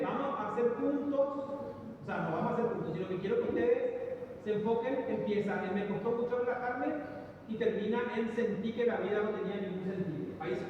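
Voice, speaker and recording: A high-voiced man lectures a class, walking students through the analysis step by step and quizzing them as he goes. He sounds far from the recorder, in a big echoing room with a long reverb tail and steady background noise. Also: lo-fi sound. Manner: explains step by step, asks the class questions. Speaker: psychometrics teacher.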